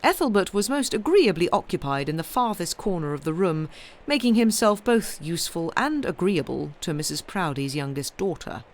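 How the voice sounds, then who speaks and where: A woman reading literary fiction aloud as an expressive audiobook narrator. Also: lowish voice, moderate pace. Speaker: audiobook reader.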